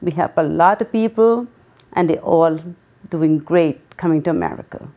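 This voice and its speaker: A woman in her sixties from Guyana, answering interview questions earnestly, pausing often with ums. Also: low voice, mellow, lo-fi sound. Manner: earnest, with many pauses and ums. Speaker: guest, memoir author.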